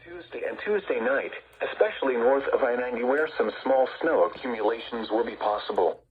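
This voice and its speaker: A man's voice: mid-range voice, animated, brisk, breathy edge, phone-quality sound. A man's automated-style announcer voice formally reading a scripted forecast, played through a noisy small radio speaker. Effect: radio speaker playback.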